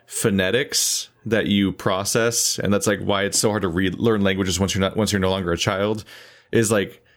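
A man's voice: deep voice, steady tone, normal speed. A man from California, chatting casually in a group, rambling with many restarts.

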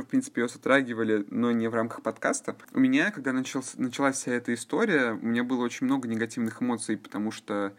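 Young male host, quick and loose, keeps circling back with fillers. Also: mid-range voice. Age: young adult. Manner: rambling, heavy on fillers. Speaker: podcast host. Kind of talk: casual chat.

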